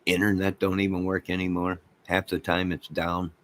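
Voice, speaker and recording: Man, American accent, lowish pitch, exasperated, repetitive, emphatic, rambling channel-host rant. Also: completely flat delivery, moderate pace, fairly bright tone, a little hiss or hum.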